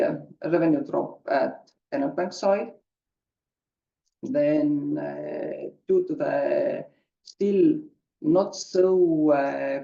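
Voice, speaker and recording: Mellow, gravelly man presenting financial results. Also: a little high. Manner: hesitant, halting delivery with frequent filler sounds. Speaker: company finance executive. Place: conference call. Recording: muffled, roomy conference call audio.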